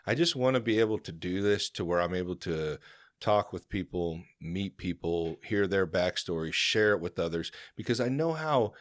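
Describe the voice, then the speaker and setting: A man's voice: deep voice, lively intonation, normal speed, dry recording, studio quality. A man with an American accent hosting a podcast, talking bluntly and casually with plenty of swearing. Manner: blunt, profane, laughs loudly. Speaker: podcast host. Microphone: studio podcast mic.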